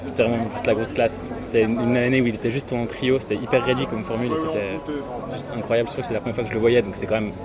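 A man from a band chatting casually in an interview outdoors at a festival, quick and relaxed with many fillers. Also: mid-range voice. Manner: relaxed, talks fast with fillers. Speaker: band member. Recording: loud festival music and crowd behind. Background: loud music and crowd.

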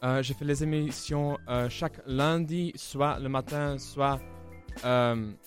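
A man's voice: mid-range voice, fairly even intonation, slow pace, soft background music. A young man from Belgium with a Flemish accent, a native Dutch speaker, answering interview questions in French with many hesitations. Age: young adult. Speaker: student radio guest.

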